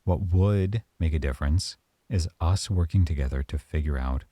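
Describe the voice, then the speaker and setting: A man performing a calm, softly stern professor in an ASMR roleplay monologue, speaking close to the mic. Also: extremely low pitch, normal speed, husky, no echo.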